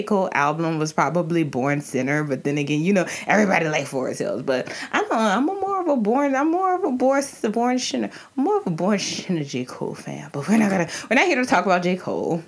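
Animated New Orleans woman podcaster. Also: mid-range voice. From New Orleans area. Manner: chatty and animated, frequent restarts and slang. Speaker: podcast host reviewing an album. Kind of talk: casual solo podcast review.

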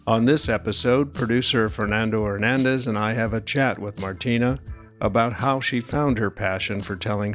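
Host: man, lower voice, friendly, light music under. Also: fairly bright tone. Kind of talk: interview and narrated intro.

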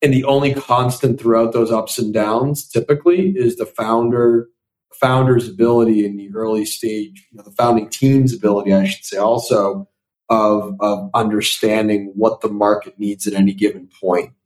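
Thoughtful young man, slightly low voice. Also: fairly even intonation, normal speed, darkish tone, low-quality recording. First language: Italian. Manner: thoughtful, repeats words when starting sentences. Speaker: venture capital investor, guest. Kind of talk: podcast interview answers.

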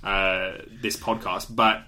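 A man's voice: deep voice, little pitch movement, takes it slowly, sharp tone, a little hiss or hum. A young Australian man co-hosting a podcast, chatting casually through a draft with fillers and frequent laughs. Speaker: podcast co-host. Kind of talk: casual chat, draft analysis.

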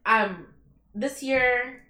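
A woman's voice: on the high side, extremely slow, pushed and bright, reverberant. A woman in her thirties co-hosting a casual podcast chat with friends. She is blunt and teasing and swears freely. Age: 30s. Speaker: podcast co-host.

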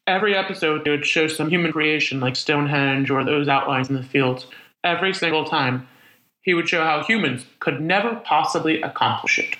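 A man acting in a radio play as a lonely, weary survivor of a disaster, musing aloud in a halting, conversational monologue. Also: fairly high pitch, pushed and bright, roomy sound, rough recording. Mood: indignant, reflective. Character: lonely, weary, reflective male survivor wandering after disaster. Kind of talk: dramatic monologue, spoken like an audio diary.

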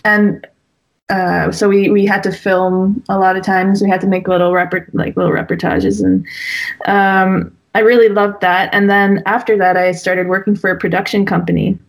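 A woman's voice: mid-range voice, flat delivery, normal speed. Chatty young woman with many fillers. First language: Dutch. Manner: talkative, full of fillers and restarts. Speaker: guest, wedding and family photographer. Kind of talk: casual interview conversation.

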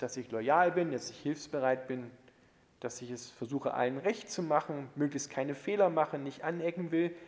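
Man preaching in a reverberant hall. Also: fairly high pitch. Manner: emphatic, rhetorical delivery with swings from loud to hushed. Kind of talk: sermon.

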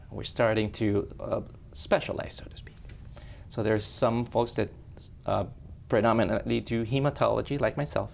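A man's voice: medium pitch, gravelly, a little hiss or hum. A man from Ecuador, a hematologist, patiently explaining blood disorders as an interview guest in a friendly way.

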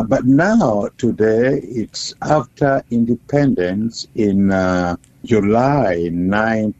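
Slow, gravelly South Sudanese man. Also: lowish voice, dark tone, no echo. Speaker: bishop, interview guest. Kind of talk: phone interview. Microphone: telephone. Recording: dull, muffled phone line.

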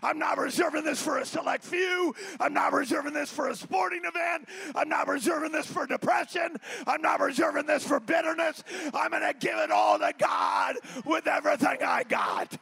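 High, gravelly man preaching at full shout. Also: very animated, normal speed, few pauses. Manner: shouted exhortation, urging the congregation to praise. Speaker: pastor. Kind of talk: fiery Pentecostal sermon. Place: church sanctuary.

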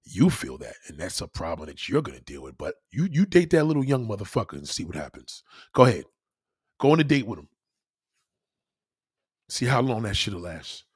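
Blunt, husky-voiced man lecturing. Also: fairly low pitch, big pitch swings, moderate pace, no room sound, studio quality. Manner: emphatic, repeats himself for stress. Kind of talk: casual opinion monologue.

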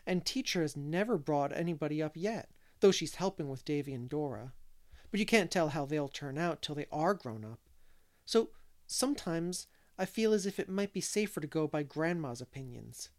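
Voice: medium pitch, lively intonation, normal speed, natural voice. A reader doing a serious precocious little boy. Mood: earnest. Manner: earnest, reasoning. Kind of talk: audiobook character reading.

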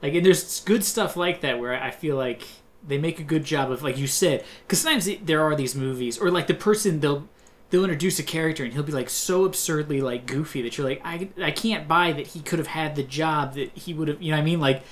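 Podcast co-host, mid-pitched voice, casual movie chat, chatty storyteller. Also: fairly quick. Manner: chatty storyteller, acts out own past thoughts.

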